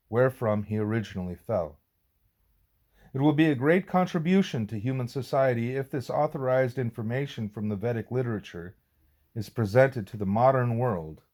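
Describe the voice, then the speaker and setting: A man reading a formal religious text aloud as audiobook narration, with deliberate, measured phrasing. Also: mid-range voice, a little mellow. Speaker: reader of a spiritual text.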